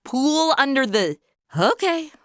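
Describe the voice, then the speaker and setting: A woman's voice: high-pitched, sing-song, slow pace, fairly bright tone, dry recording, clean studio sound, full character voice. A woman playing a flippant, sceptical modern trainee angel in a comedy audio drama, blunt and sarcastic. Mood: baffled, sceptical. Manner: blunt, snarky.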